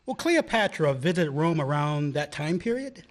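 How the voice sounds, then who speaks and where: A man's voice: fairly high pitch, sing-song, normal speed. A man playing an obsessive young male graduate student who laughs at his own jokes, in an amateur radio play. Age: young adult.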